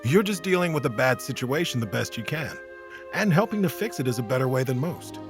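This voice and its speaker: A man acts a weary adventuring hero for an audio drama. He is candid and kind, with dry self-mockery. The voice is mid-pitched with a gravelly edge and moves expressively, over background music. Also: lively intonation, fairly quick, natural voice. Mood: gentle, reassuring. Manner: wry, candid, gently reassuring. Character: weary, kind, self-deprecating male monster-slaying hero.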